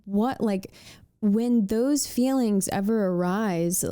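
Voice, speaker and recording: A woman hosts a casual interview and asks chatty, quick questions. Her voice has a mellow, soft tone at an ordinary pitch. The recording is dry and close. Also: smooth, no room sound. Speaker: podcast host. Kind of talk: conversational interview.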